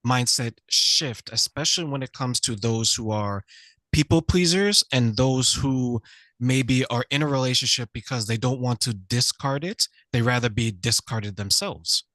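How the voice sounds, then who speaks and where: A man's voice: medium pitch, measured pace, bright, forceful tone, no echo. A male podcast host asking long conversational questions and adding his own commentary.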